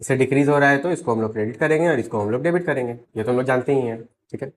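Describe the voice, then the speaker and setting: A man with an Indian accent and a slightly hoarse voice, teaching step by step and checking in with rhetorical questions. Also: mid-range voice, fast talker, a little hiss or hum. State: slightly hoarse, sore throat. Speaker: accountancy teacher. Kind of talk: explanatory lesson mixing Hindi and English.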